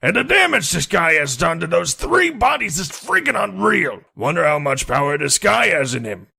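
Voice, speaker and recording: A man voicing a gruff, cynical male police detective who talks in blunt tough-guy slang. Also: fairly high pitch, big pitch swings, moderate pace, pushed and bright, heavily acted.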